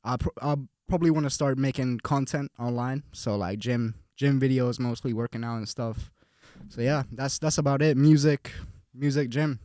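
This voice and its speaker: A young man from Finland, a podcast guest, speaks at a mid pitch in a laid-back, easygoing way. He often repeats words as he searches for what to say. The recording is close and dry. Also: moderate pace, a little mellow, no echo. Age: young adult. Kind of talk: casual conversation.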